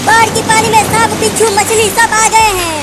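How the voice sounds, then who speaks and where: A performer voicing a worried, scolding village mother in a Hindi children's cartoon, with a childlike pitched-up voice. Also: little pitch movement, moderate pace. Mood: warning.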